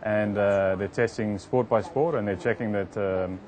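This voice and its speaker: A man with an Australian accent, an IT project manager explaining technical work in an interview, halting with frequent restarts. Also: fairly low pitch, fairly even intonation, a little mellow. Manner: halting, with many fillers and restarts.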